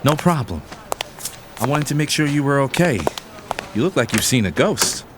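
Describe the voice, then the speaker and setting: An actor playing a loyal, protective family lawyer, earnest and emphatic, in an audio drama. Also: male voice, medium pitch, lively intonation, normal speed, background noise, lightly acted. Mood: concerned.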